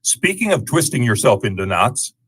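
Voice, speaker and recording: A man's voice: medium pitch, sing-song, normal speed, a bit bright, slightly rough. A man acting as an old-time radio comedy announcer who reads sponsor ads in 1940s style. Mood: wry, showman-like.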